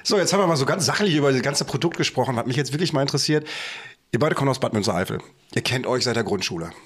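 Fast, husky host. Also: male voice, a little high. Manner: chatty storyteller, jokes and laughs often. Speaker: podcast host. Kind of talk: casual chat.

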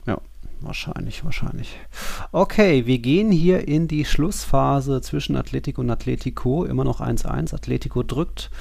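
A man with a gravelly, mid-pitched voice co-hosts a football podcast. He moderates and comments casually, in slangy everyday language. The recording is dry and close. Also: normal speed, a little mellow, dry recording.

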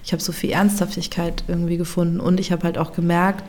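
A woman chef in a casual interview, speaking reflectively and often pausing to search for words. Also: fairly low pitch, flat delivery, a little mellow. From Germany.

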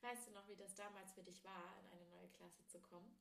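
A woman's voice: mid-range voice, fairly even intonation, a little fast, vocal fry, fairly bright tone, reverberant. A woman in her late twenties from southern Thuringia, hosting a casual podcast chat, giggly and full of fillers and self-corrections. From southern Thuringia, Germany. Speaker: podcast host.

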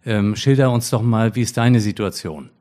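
A middle-aged man hosting a podcast, reading a formal introduction and then interviewing a guest in a measured way. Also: lowish voice, darkish tone. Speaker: podcast host, hospital director.

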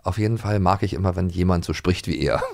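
A man's voice: deep voice, fast talker, vocal fry, a little mellow, a little hiss or hum. A man co-hosting a magazine about radio plays in a casual, colloquial and playful way, close on the mic. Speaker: radio drama magazine co-host. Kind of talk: casual conversational review.

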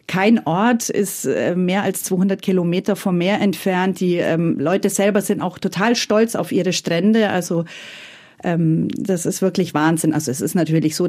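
A woman's voice: on the low side, mellow. A woman from Franconia with a Franconian German accent, a guest chatting and telling stories hesitantly in an interview. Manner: chatty, hesitant, often laughing. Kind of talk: casual conversational storytelling.

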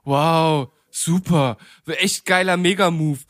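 A man chatting casually on a podcast, making his case in halting, repeated phrases. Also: on the high side, steady tone, fairly bright tone, no echo. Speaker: podcast speaker. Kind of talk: casual group chat.

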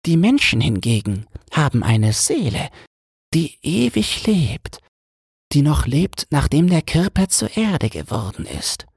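A man voicing a wise, resigned old mermaid grandmother in a thin, breathy falsetto, slow and instructive, for a fairy-tale audiobook. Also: big pitch swings, no room sound, studio quality, full character voice. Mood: solemn, matter-of-fact. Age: older.

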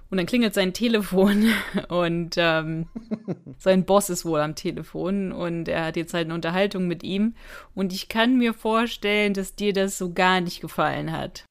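A woman's voice: on the low side, moderate pace. A woman co-hosting a podcast, chatting casually in a matter-of-fact way. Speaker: podcast co-host.

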